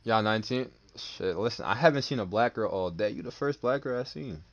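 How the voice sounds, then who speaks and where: Smooth-talking young man on a headset. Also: on the low side, expressive, brisk, lo-fi sound, a little hiss or hum. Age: 20s.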